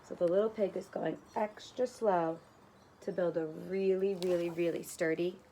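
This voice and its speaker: A woman's voice: lowish voice, steady tone, normal speed, mellow, slight background noise. A woman kindergarten teacher reading a picture book to young children and explaining it simply, outdoors on a porch.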